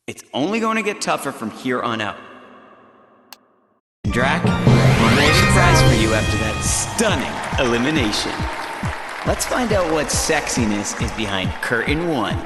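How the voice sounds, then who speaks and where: An actor playing a flamboyant, scheming reality show host and producer in a video game, with a showy, drawn-out announcer delivery. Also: male voice, mid-range voice, big pitch swings, over a noisy crowd. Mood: showman excitement. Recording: echoey hall reverb with music behind.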